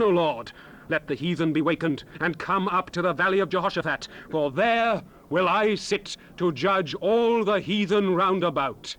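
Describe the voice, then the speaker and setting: A man playing a solemn, fervent Bible prophet in a dramatized scripture recital, with grand, measured, declamatory phrasing. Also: high voice, fairly bright tone. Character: male prophet Joel proclaiming God's words, solemn and fervent. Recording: noisy unprocessed 1970s cassette rip.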